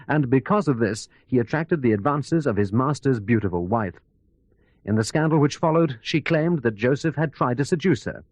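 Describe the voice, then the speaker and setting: A man's voice: mid-range voice, a little mellow, no room sound. A man playing a radio news correspondent, narrating a scripted background report in a formal, articulate storytelling manner. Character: male news correspondent recounting a biography for radio.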